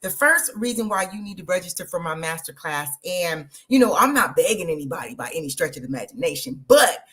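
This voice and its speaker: A woman's voice: fairly low pitch, animated, a little fast, few pauses, fairly bright tone. Lady in her 50s, hyped-up motivational live stream, talks right to the viewers. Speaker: life coach hosting a live stream. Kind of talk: live social media stream.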